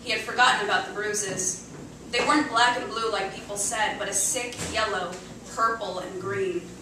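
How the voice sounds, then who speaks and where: A woman's voice: medium pitch, moderate pace. A woman reading a short story aloud dramatically and expressively at a live literary event. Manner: expressive, acting out chants and dialogue. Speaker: reader at a live literary show. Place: indoor cafe venue reading before an audience. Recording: echoey live venue, distant mic, faint noise.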